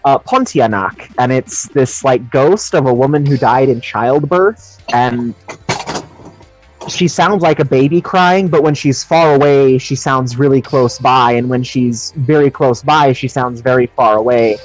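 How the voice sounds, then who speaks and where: A man chatting casually on a gaming livestream in a rambling, excitable, sweary way. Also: mid-range voice, unhurried, poor audio. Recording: music behind the voice. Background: music.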